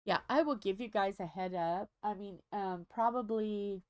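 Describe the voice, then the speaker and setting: A woman's voice: mid-range voice, moderate pace, clean tone, no room sound, studio quality. A middle-aged woman hosting a diamond painting livestream, chatting casually and rambling as she thinks aloud with many fillers, a little stuffy.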